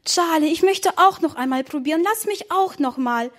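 A woman voicing an eager little girl who begs and pleads in a high, childlike tone. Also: animated, a little slow. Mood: pleading.

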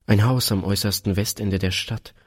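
A man voicing a gentle mother who explains things to her husband. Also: fairly low pitch, steady tone, normal speed, slightly rough. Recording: long hall reverb.

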